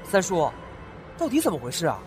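A man's voice: high voice, huge pitch swings, a little fast, over music. A man voicing a curious, naive young master in an audio drama who talks eagerly and asks many questions.

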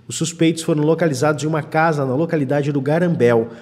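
Man, fairly high pitch, scripted reporting, reverberant room. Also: quick pace, a little mellow. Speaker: news reporter. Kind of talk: scripted news narration. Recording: long reverb on voice-over.

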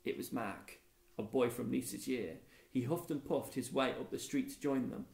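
A man in his thirties reading a children's storybook aloud like a primary school teacher, with a creaky voice. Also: medium pitch, normal speed, darkish tone. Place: classroom, indoors. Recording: echoey room.

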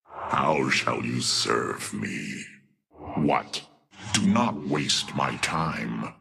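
A man voicing a cruel, arrogant alien warlord in a video game, gravelly, imperious and full of contempt. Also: rumbling low, lively intonation, drawn-out words, sharp tone, exaggerated voice. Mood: disdainful. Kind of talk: theatrical video game voice acting.

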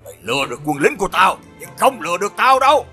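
A man voicing a brutal, boastful, commanding enemy general in an animated fairy tale. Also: sits high, racing, over loud music. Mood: defiant. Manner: blustering, commanding.

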